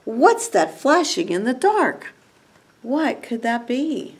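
A woman's voice: on the high side, slow, breathy edge, pitched above the performer's own voice, full character voice. A woman doing small, wide-eyed curious ducklings. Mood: wondering. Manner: excited, wondering, sometimes whispering.

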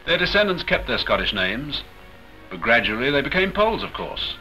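An actor in his thirties playing a polite, modest Polish Air Force flight lieutenant who speaks crisp, careful English, in a 1940s film. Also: medium pitch, sing-song, moderate pace, sharp tone, poor audio. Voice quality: crisp, well-spoken English. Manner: modest and courteous. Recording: 1940s film soundtrack with music behind.

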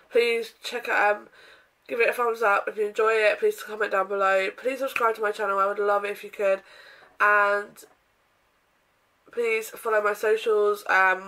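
A young woman vlogging casually from her bedroom, with a croaky voice from a sore throat, chatting in a rambling way with lots of ums and throat clearing. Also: medium pitch, sharp tone, rough recording. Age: young adult. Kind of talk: casual day-in-my-life vlog. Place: bedroom, indoors.

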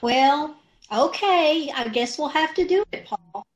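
An actress playing a scatterbrained, guileless, daffy wife in an old-time radio comedy, recorded over a video call. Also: female voice, high voice, animated, slow. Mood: innocent, breezy. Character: scatterbrained, guileless wife who misunderstands everything.

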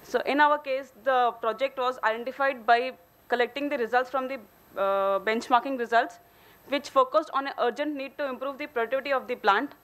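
Woman, Indian accent, fairly high voice, company engineer, formal case-study presentation. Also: a little fast, fairly bright tone. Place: hotel conference hall, at a podium on stage.

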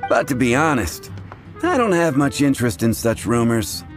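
A man's voice: mid-range voice, sing-song, normal speed, natural voice. An actor playing a wry, unbothered male bartender telling tales in a video game, in a conversational manner. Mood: wry, matter-of-fact. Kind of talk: video game character acting. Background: music.

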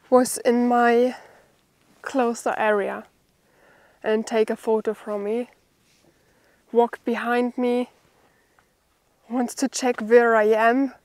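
A young woman with a German accent speaks in a fairly high voice. She talks slowly and haltingly, pausing mid-sentence as she vlogs unscripted. Her native language is German, and the sound is dry, with no echo around the voice. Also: a little mellow. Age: young adult. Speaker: bushcraft vlogger. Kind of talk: unscripted vlog talk to camera. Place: outdoors in a forest.

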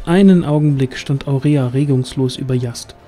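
Male storyteller for fantasy audio play, warm mellow tone, scripted, light music bed. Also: medium pitch, normal speed. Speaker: narrator.

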